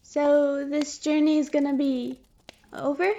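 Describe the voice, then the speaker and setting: A woman playing a friendly, excitable young monster boy who talks in boyish slang, in an audio drama. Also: high-pitched, measured pace, highs cut off. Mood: curious. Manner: slangy, boyish, says dude and yo.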